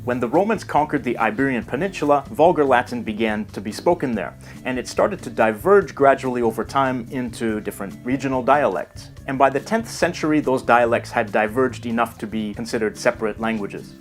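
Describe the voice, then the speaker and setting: Measured male educational narrator. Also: mid-range voice, faint music behind. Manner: measured, explanatory. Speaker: documentary narrator.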